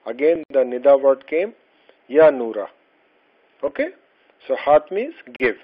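A man with a mid-pitched voice, not a native speaker of Arabic, walks through a beginner language lesson. He explains each word slowly and patiently, pausing on fillers and restarting sentences. The voice-over sounds dull and lo-fi. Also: very animated. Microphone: voice-over over slides.

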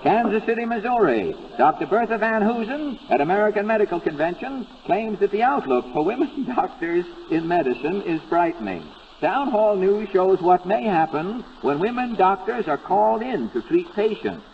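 A male radio announcer narrates in 1930s newsreel style. His voice is pitched high, and the recording is muffled with a light hiss. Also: very animated, normal speed, few pauses.

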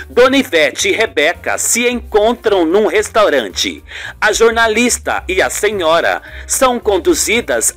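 A woman's voice: mid-range voice, a bit bright. A woman narrating a scripted radio soap opera as its storyteller.

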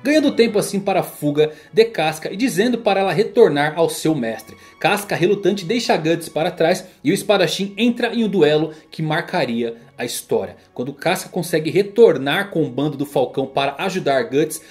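A man's voice: fairly high pitch, animated, brisk, few pauses, darkish tone. A man with a Brazilian accent giving a scripted, solemn and theatrical narration of a story. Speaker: YouTube anime and manga narrator. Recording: music under the voice. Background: background music.

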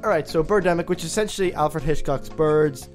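A man's voice: a little high, fairly quick, soft background music. A man hosting a comedy podcast, chatting casually and narrating scenes in a mocking, jokey manner. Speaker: podcast host.

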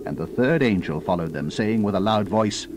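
A man's voice: fairly low pitch, expressive, moderate pace, background noise. A man narrating a dramatized scripture reading in a solemn, theatrical, declamatory manner. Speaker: Bible narrator.

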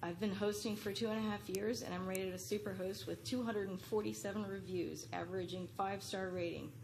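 A woman's voice: mid-range voice, steady tone, brisk, creaky, darkish tone, a little hiss or hum, low-quality recording. A middle-aged woman reads an earnest, pleading prepared statement as a public commenter at a podium microphone in a large council chamber. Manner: earnest, pleading, read from notes.

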